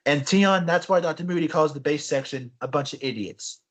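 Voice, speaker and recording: A young man co-hosting a casual podcast, telling stories in a rambling, animated way with frequent restarts. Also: a little high, fairly quick. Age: young adult. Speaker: podcast co-host. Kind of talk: casual chat.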